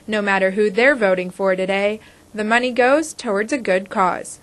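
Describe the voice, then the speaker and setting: A young adult woman reporter and anchor reading scripted news in a crisp, measured way. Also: medium pitch, noisy background. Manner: crisp, measured newsreader delivery.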